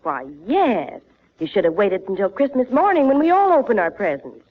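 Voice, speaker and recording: An actress playing a sensible, gently chiding middle-aged housewife and mother in a noisy old 1940s radio sitcom recording. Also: medium pitch, sing-song, normal speed, phone-quality sound. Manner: wry, gently reproving.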